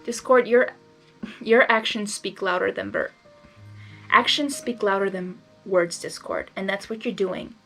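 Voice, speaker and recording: A woman's voice: medium pitch, unhurried, fairly bright tone, soft background music. A woman narrating fan fiction expressively, slipping into casual, emotionally invested asides.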